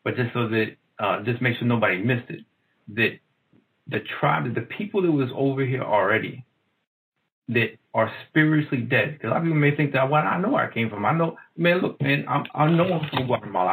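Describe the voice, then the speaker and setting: A man's voice: mid-range voice, lively intonation, brisk, a bit bright, low-quality recording. A man reading scripture aloud and adding earnest comments in a Bible study. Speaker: scripture reader and co-host.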